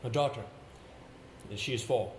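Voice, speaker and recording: An actor on stage playing a confident, sympathetic theatre actor who encourages a pupil. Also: male voice, mid-range voice, measured pace, natural voice. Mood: encouraging, earnest. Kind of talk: live stage play acting. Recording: echoey hall, clearly noisy stage recording.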